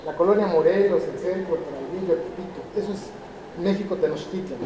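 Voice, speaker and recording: A man in his fifties from Mexico giving a lively public lecture, questioning his audience and pressing his points. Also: high voice, brisk, background noise. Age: 50s. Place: large roofed hall with metal roof beams. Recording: echoey hall, camera at a distance.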